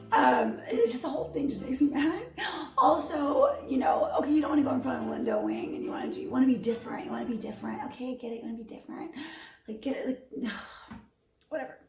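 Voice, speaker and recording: A raspy, hoarse woman in her fifties giving blunt, rambling opinions at home as a vlogger, with many ums. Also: high-pitched, normal speed, long pauses. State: hoarse from allergies. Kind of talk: opinionated casual commentary. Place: home during quarantine. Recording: echoey room with music behind.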